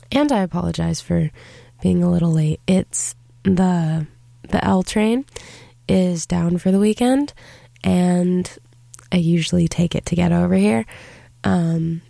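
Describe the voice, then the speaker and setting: A woman's voice: lowish voice, gravelly, dark tone, no echo. A woman hosting a college radio show from a studio, talking casually with many fillers while congested from a cold. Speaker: radio host. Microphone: studio microphone.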